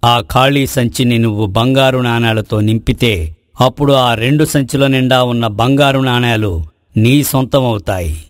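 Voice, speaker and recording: A magical forest tree played by a man speaks in a mid-pitched male voice. It is calm and tempting, offering bargains in slow, formal phrases. The recording is close and dry, in moral-story style. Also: no room sound, close to an everyday voice. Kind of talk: Telugu moral story voice acting.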